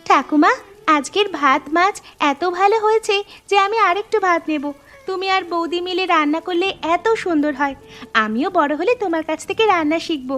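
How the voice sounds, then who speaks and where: A woman voicing a sweet, eager little granddaughter in an animated story, with a childlike manner. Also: very high-pitched, fairly quick. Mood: eager, admiring. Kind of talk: animated moral story dialogue. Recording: music behind the voice.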